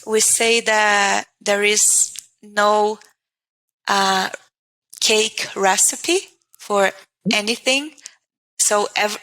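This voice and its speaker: Bright Portuguese-accented woman teacher. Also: medium pitch, steady tone, drawn-out words, pauses often, low-quality recording. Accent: Portuguese-speaking. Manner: earnest, thinks aloud with many restarts. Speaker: guest, language teacher. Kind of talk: casual interview.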